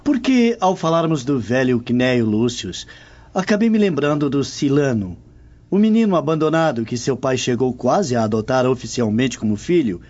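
Man acting a cautious Roman noble, lively intonation, muffled audio. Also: medium pitch, normal speed, lightly acted. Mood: concerned, discreet. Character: cautious Roman nobleman, loyal older friend. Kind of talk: radio drama acting. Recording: dull lo-fi audio.

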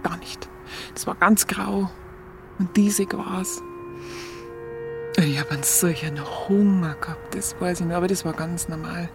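Munich woman narrator for radio play: Bavarian accent, colloquial, confiding recollection, clear music bed. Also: lowish voice, steady tone, unhurried, pauses often. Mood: reflective. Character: Munich woman candidly recalling her hungry youth in 1945.

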